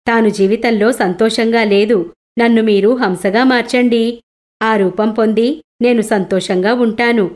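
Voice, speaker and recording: A woman's voice: a little high, steady tone, a little slow, darkish tone, close to an everyday voice. Woman as a discontented, pleading crow. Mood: pleading, longing. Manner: complaining, pleading. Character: discontented crow longing to be another bird.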